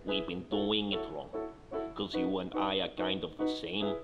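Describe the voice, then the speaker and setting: Man acting a deep, singing game show captor. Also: steady tone, measured pace. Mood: coaxing. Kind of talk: musical theatre singing. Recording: dull lo-fi sound under loud piano music.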